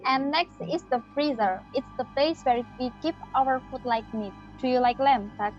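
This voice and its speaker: A woman's voice: high voice, moderate pace, lightly acted. A woman playing a friendly, mysterious head chef in a readers theater drama, speaking like a mentor. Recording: muffled audio with background music.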